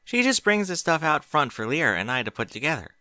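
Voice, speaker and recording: A man's voice: fairly high pitch, sing-song, fairly quick, sharp tone, no room sound, voice pushed up, heavily acted. A man voicing a bookish young female pony, chatty and precise, in a fan fiction audiobook. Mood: chatty, casual.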